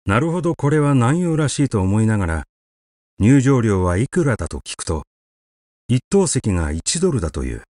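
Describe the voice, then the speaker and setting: A man reading a literary short story aloud as an audiobook narrator, with measured, expressive storytelling. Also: medium pitch, no room sound, clean studio sound.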